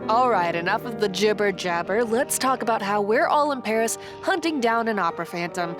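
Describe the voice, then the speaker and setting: A woman's voice: mid-range voice, lively intonation, brisk, natural voice. A woman acting an impatient, blunt young adventurer in a radio drama, brash and sardonic. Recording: music under the voice.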